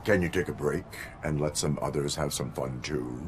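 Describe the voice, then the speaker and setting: Robotic lawman, low-pitched, unflappable, formal, deadpan, game dialogue, slight background noise. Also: normal speed. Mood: dry. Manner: deadpan, formal, mechanical phrasing. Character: stern, unflappable male cyborg police officer. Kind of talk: video game voice acting.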